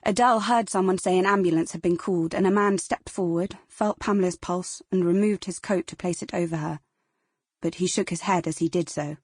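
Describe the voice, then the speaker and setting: A woman's voice: on the low side, fairly quick, a little mellow, husky, dry recording, studio quality. A woman with a British accent narrating dramatic fiction as a scripted audiobook.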